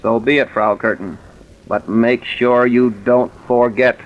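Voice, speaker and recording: An actor playing a stern, insistent police commissioner with deliberate authority, in old-time radio drama style. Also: male voice, medium pitch, fairly even intonation, natural voice. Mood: insistent, pressing. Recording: dull, hissy vintage radio recording.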